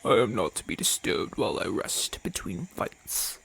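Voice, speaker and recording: A man playing a proud, haughty Roman gladiator in an ASMR audio roleplay, imperious and formal, often dropping to a breathy murmur. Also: fairly low pitch, big pitch swings, normal speed, fairly bright tone, exaggerated voice. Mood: haughty, guarded. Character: proud, haughty Roman gladiator champion, gruff but softening.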